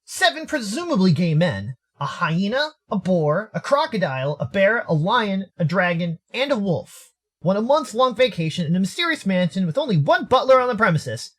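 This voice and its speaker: A man's voice: high voice, sing-song, moderate pace, fairly bright tone. An American man giving playful scripted narration of a story in a theatrical, tongue-in-cheek manner. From United States. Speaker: narrator and streamer.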